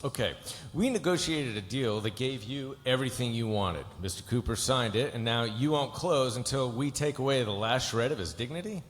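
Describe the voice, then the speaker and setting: A man's voice: mid-range voice, animated, moderate pace, few pauses, natural voice. A man playing a cocky, slick, unflappable corporate lawyer in a live script read, assured and cutting. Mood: firm, confident. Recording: echoey hall, noisy room sound.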